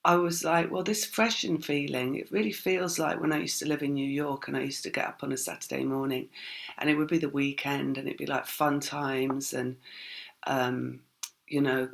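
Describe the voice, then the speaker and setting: Croaky, gravelly woman reading tarot. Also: very deep voice, steady tone, moderate pace. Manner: rambling, reflective, many fillers. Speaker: tarot reader. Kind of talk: casual spiritual reading.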